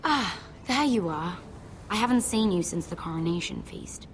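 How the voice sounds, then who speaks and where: A woman playing an anxious, reproachful young noblewoman at court in a fantasy video game, with a measured, pointed delivery. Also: on the low side, expressive, a little hiss or hum.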